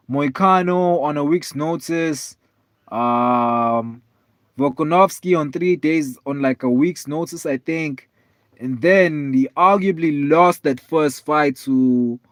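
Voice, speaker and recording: A man in his twenties, tired, chatting casually with viewers on a live stream in a relaxed, rambling way with lots of fillers. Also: fairly high pitch, no room sound. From South Africa. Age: 20s. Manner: laid-back, rambling, many fillers, calls viewers man and bro.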